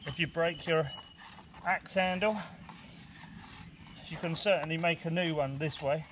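A man in his forties with a slightly high voice talks through a practical bushcraft task. His delivery is informal, like someone explaining while working with his hands. He is recorded outdoors in woodland, with some background noise. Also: fairly even intonation, measured pace, fairly bright tone. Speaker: bushcraft instructor. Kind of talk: casual hands-on demonstration.

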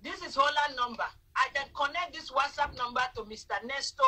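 A woman's voice: high voice, a little fast, sharp tone. A woman in her thirties hosting a live stream from her phone at home, lecturing her viewers emphatically. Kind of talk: casual live-stream chat. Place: indoor room at home. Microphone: phone front camera.

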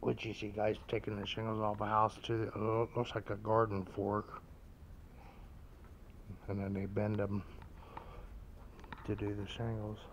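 Low-key man with a deep, even voice. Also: a little slow, pauses often. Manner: low-key, rambling, musing aloud. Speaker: vlogger. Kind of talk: casual walk-through commentary. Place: large indoor antique mall, walking around. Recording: background noise, store ambience.

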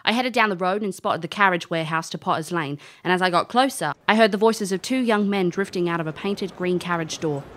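A woman's voice: on the low side, fairly quick, few pauses, fairly bright tone, slight background noise, close to an everyday voice. A woman playing a cocky, wry private investigator in an audio drama, casual and confident. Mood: storytelling. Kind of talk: audio drama acting and narration.

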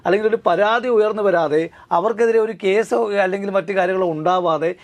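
Lawyer type, high voice, rattles along nonstop with rhetorical questions. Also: male voice. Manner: rapid, repetitive, keeps asking himself rhetorical questions. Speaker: lawyer guest. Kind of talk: legal commentary in a studio interview.

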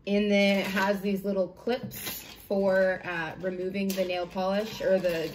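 A young American woman talks casually to camera like she is chatting with friends. Her voice sits at an average female pitch, with informal, slangy phrasing. She is recorded at home on a camera microphone, with a slight noise behind her. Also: little pitch movement, a little slow. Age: young adult. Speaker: product reviewer vlogger. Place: small home room, indoors.

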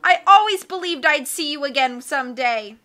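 A woman voicing a cheerful, optimistic male cook who speaks warmly and upbeat in a storybook reading. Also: high-pitched, expressive, moderate pace. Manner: warm, upbeat.

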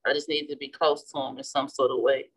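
A woman's voice: extremely low pitch, fast, husky. Chatty Midwestern woman teaching a craft. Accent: Midwestern American. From St. Louis, Missouri. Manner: chatty, relaxed, restarts often while working. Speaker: florist and class instructor. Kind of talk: casual demonstration while painting. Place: indoor flower shop worktable.

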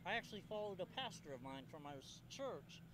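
A man from California giving a casual outdoor interview, friendly and halting with restarts. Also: sits high, big pitch swings, noisy background. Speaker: guest interviewee. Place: outdoor park event.

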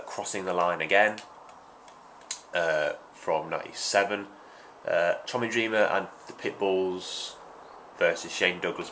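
Young man, congested, deep voice, rambling with ums, hissy home webcam audio. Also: fairly bright tone. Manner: rambling, many ums and restarts. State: congested from hay fever. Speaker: vlogger. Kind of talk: casual unboxing vlog. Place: small room at home, indoors. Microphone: webcam at desk.

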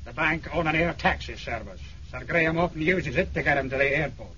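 A man's voice: on the high side, fairly quick, pushed and bright. An actor playing a hard, hostile henchman in a radio drama, curt and menacing. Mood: curtly menacing. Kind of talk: 1970s radio drama acting. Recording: dull, faintly noisy radio recording.

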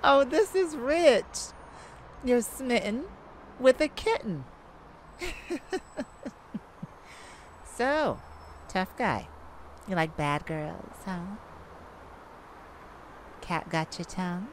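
A woman's voice: a little high, lively intonation, very slow, long pauses, a little hiss or hum. A woman playing a cocky, flirty female cat burglar in an ASMR roleplay, with a teasing, sassy, theatrical delivery. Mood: flirty, smug.

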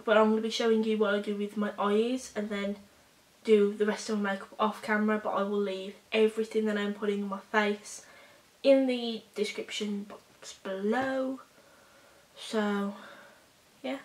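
A young woman with a hoarse voice from a cold, chatting casually through a makeup tutorial with halting, filler-heavy talk. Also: mid-range voice, little pitch movement, reverberant, low-quality recording. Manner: rambling, halting, with many fillers. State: hoarse, recovering from a cold, coughing. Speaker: beauty vlogger. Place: small bedroom indoors.

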